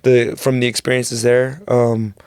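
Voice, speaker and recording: A man's voice: medium pitch, level tone, unhurried, darkish tone, smooth, no echo. A man from San Antonio, a coach rambling casually on a podcast, full of slang and "bro". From San Antonio, Texas. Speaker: guest striking coach. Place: podcast studio.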